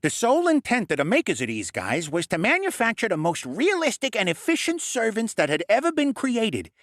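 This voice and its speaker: A man's voice: high voice, huge pitch swings, a little fast, a bit bright, no room sound, clean studio sound, voice pushed up, heavily acted. A man voicing an impatient, clever male goblin who explains things in a know-it-all way. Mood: lecturing.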